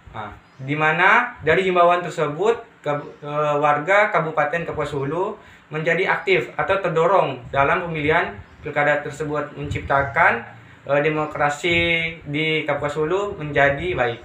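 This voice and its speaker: A young male student, giving a prepared opinion in a halting, formal manner. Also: on the high side, pushed and bright, slight background noise. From West Kalimantan, Indonesia. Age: young adult. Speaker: university student interviewee. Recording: echoey room sound.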